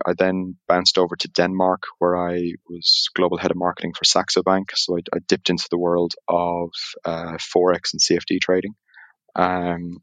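A man's voice: deep voice, a bit bright, no echo. An Irish man talking as a podcast guest in a casual, rambling way, often restarting his sentences. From Ireland. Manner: rambling, self-correcting, chatty. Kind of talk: casual interview chat.

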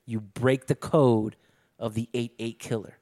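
A man's voice: mid-range voice, fairly even intonation, a little slow, warm tone. A man co-hosting a casual movie talk, joking and swearing freely, riffing and repeating phrases for emphasis. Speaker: podcast co-host.

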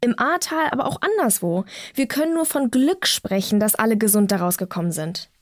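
A woman's voice: on the high side, expressive, brisk, dry recording, clean studio sound, lightly acted. A young woman playing an earnest, defiant climate activist who argues in a colloquial way in naturalistic audio drama. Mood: troubled, earnest.

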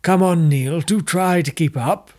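Guy playing a snooty obsessed toff, slow drawl, lifts his voice a bit. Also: fairly high pitch, darkish tone, voice pushed up, close to an everyday voice. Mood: haughty. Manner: drawling and pompous. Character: pompous, obsessive aristocratic man craving loveliness.

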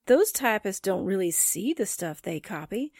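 Actress as a breezy sidekick, animated pitch. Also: female voice, normal speed, no room sound, clean studio sound. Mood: breezy, teasing. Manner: slangy, teasing. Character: breezy, wisecracking female sidekick. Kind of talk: 1940s radio drama acting.

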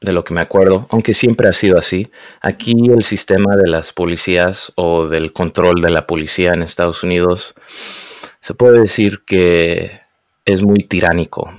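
A young man raised in the United States, speaking casually and often pausing to search for words. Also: deep voice, fairly even intonation, no room sound. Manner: hesitant, searches for words, mixes in English. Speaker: guest. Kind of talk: casual conversation.

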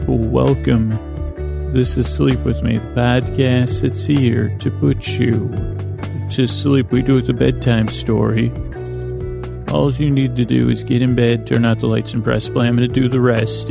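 A man hosting a bedtime podcast in a meandering, halting monologue, whimsical and self-deprecating, often trailing off mid-thought. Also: medium pitch, creaky. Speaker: podcast host. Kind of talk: rambling bedtime monologue for listeners to fall asleep to.

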